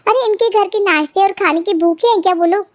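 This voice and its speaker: Woman as high-pitched, aggrieved young daughter-in-law. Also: fairly quick, dry recording. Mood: indignant. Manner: complaining, insistent. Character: aggrieved, indignant young daughter-in-law, eager to expose wrongs. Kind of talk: cartoon family moral story dialogue.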